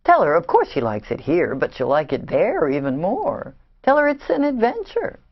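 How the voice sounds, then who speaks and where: A woman's voice: on the low side, huge pitch swings, moderate pace. An actress in a play reading, playing a defiant, hard-edged woman in an Israeli family, on a dull recording. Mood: coaxing.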